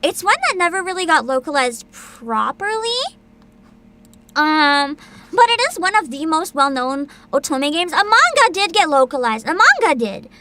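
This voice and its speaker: A woman hosting a livestream, chatting casually with viewers in an excitable, bubbly, giggly manner. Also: sits high, normal speed. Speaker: livestreamer host.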